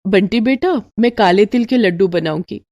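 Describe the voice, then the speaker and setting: A woman voicing a gentle, devout, humble young mother in a cartoon moral story, speaking softly and patiently. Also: mid-range voice, normal speed, no room sound, studio quality, natural voice. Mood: gentle, earnest.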